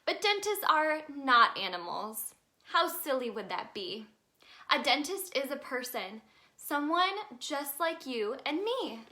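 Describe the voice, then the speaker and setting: A woman reading a children's story aloud in an expressive, sing-song way for young listeners. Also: sits high, slow. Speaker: children's storybook reader, in a tooth fairy persona.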